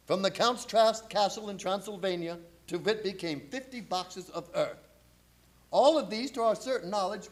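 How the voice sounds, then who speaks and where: A man playing an authoritative professor leading vampire hunters in a radio drama, emphatic and commanding, with dramatic pauses. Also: high voice.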